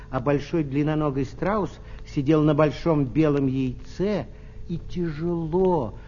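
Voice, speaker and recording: A man narrating a children's fairy tale, with expressive, deliberate phrasing and pauses. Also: a little high, muffled recording, slight background noise. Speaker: storyteller.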